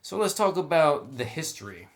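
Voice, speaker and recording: A man's voice: on the high side, very animated, normal speed. A man giving a casual, conversational review narration as a reviewer of tabletop game books.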